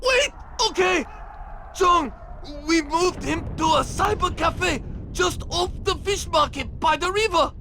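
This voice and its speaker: Very high-pitched agitated enemy soldier. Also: male voice, expressive, slow pace, heavily acted. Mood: terrified. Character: nervous, bickering male enemy soldier. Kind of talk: video game voice acting. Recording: loud game ambience and rumble.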